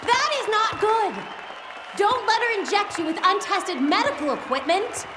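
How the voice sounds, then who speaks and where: A woman playing a young, earnest and quick-witted vigilante heroine in a video game. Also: very high voice, animated, crowd noise, noisy background. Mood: alarmed, wry. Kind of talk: video game cutscene acting.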